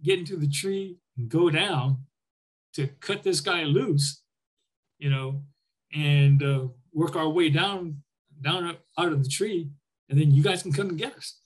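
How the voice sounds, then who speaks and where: An older man with a somewhat high voice talks like a veteran looking back on his service. He tells it informally and conversationally, slipping into the dialogue he remembers. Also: measured pace, breathy edge, low-quality recording. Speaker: military veteran, former Army air traffic controller, interviewee. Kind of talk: conversational war reminiscence.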